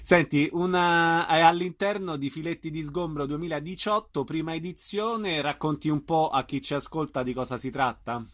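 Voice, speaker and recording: A man's voice: fairly high pitch, normal speed, few pauses, a bit bright. A man working as a radio interviewer, putting informal questions to a guest.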